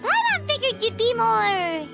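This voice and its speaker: A woman voicing a small, chirpy flying fairy-like girl companion with a childlike, squeaky voice for an English game dub. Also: huge pitch swings, a little slow, creaky, heavily acted. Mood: cheerful, curious. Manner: talks about self in third person. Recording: loud music under voice.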